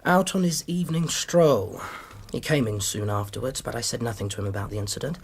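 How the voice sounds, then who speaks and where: Earnest, husky British Victorian doctor. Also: male voice, mid-range voice, fairly quick. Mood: troubled, recounting. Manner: formal, conciliatory, precise. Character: earnest, anxious, well-mannered Victorian male doctor. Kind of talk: period radio drama acting.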